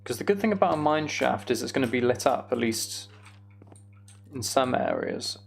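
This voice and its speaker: A man's voice: mid-range voice, expressive, moderate pace, vocal fry. A man giving casual, self-deprecating gameplay commentary, musing aloud as he goes. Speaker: gaming video host.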